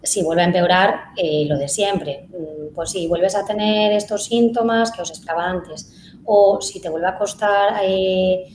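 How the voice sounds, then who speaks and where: Speech therapist, woman, lowish voice, hesitant, roomy echo. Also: steady tone, measured pace, a little mellow. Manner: hesitant, many fillers. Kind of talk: live conference Q&A. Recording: echoey room, faint noise.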